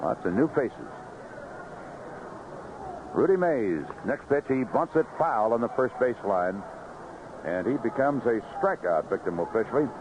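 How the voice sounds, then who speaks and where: A man's voice: mid-range voice, lively intonation, unhurried, long pauses. A man calling live baseball play-by-play in clipped, conversational phrases on a vintage radio broadcast. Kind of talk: live play-by-play sports commentary. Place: outdoor ballpark broadcast booth. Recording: noisy muffled vintage 1970s radio broadcast.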